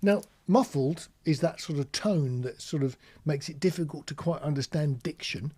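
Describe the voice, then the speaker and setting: A man's voice: a little high, normal speed, dark tone, slightly rough. A British man giving an informal, practical tutorial, explaining things plainly with anecdotes as an instructor. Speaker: marine radio instructor.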